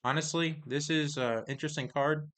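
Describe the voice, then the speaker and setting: A man casually reviewing trading cards, reading card text aloud in a halting, hesitant way, his voice getting hoarse. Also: a little high, fairly even intonation, a bit bright. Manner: halting, hesitant, many pauses and restarts.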